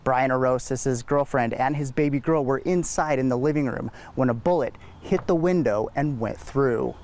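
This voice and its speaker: A man's voice: mid-range voice, normal speed, a little mellow. A man with an American accent reading scripted news narration as a television reporter.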